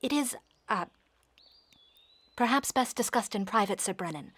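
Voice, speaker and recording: A woman's voice: medium pitch, lively intonation, normal speed, a bit bright, dry recording. An actress playing a tactful noblewoman counselor in a fantasy audio drama, with formal, discreet archaic phrasing. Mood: hesitant, urgent.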